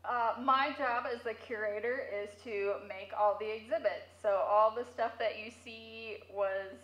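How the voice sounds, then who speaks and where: A woman's voice: a little high, fairly even intonation, unhurried, dull audio. A woman giving casual interview answers as a museum curator, heard through a megaphone in an echoey room.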